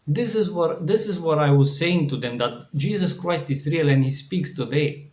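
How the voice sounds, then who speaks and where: Earnest Romanian-accented male preacher, fairly high voice, slow and deliberate, restarting phrases, in a reverberant room. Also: darkish tone. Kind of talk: devotional sermon. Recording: echoey room.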